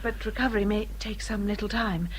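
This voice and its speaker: An actress playing a gentle, sensible young English gentlewoman in a period radio drama, polite and earnest. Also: medium pitch, fairly even intonation, fairly quick, close to an everyday voice, rough recording. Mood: reassuring. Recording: clear outdoor ambient noise behind.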